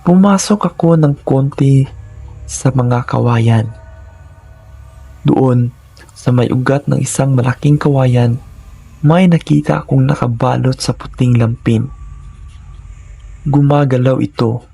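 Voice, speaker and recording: A man's voice: medium pitch, slow, creaky, pauses often, mellow, slightly rough, soft background music. A man narrating scripted first-person horror stories in a dramatic, suspenseful manner, in short phrases.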